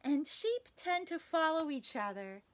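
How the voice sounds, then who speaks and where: A young woman as a children's librarian reading a picture book aloud at story time, animated and sing-song, with playful animal noises. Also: high voice, measured pace. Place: small indoor room, wood-panelled.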